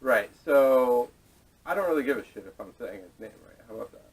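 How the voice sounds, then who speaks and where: A man hosting a casual podcast discussion, speaking haltingly with restarts and casual swearing, picked up on a camera microphone. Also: mid-range voice, expressive, husky. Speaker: podcast host introducing topics. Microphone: camera mic, away from speakers. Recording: distant camera microphone audio.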